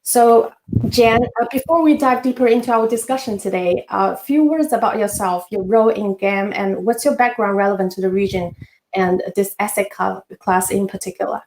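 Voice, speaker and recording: A woman's voice: mid-range voice, normal speed, a little mellow, a little hiss or hum. A woman hosting a finance webinar, giving a polite, partly scripted introduction with some hesitations. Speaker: webinar host. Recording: roomy echo.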